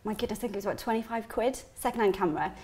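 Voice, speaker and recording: A Welsh woman, a career mentor being interviewed, talking in a chatty, energetic way with emphatic repetitions. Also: medium pitch, racing. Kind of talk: casual interview chat. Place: TV living room studio.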